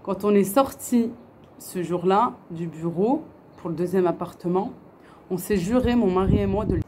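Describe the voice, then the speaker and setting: A woman's voice: mid-range voice, moderate pace, mellow, slight background noise. A middle-aged woman from France, chatting earnestly to camera and answering viewers' questions, with many fillers and restarts. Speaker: family vlogger. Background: faint outdoor ambience.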